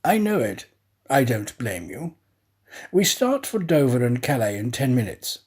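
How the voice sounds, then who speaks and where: A man voicing a composed, exacting gentleman master who gives crisp orders in a storybook reading. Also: mid-range voice, very animated, unhurried. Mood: composed, commanding.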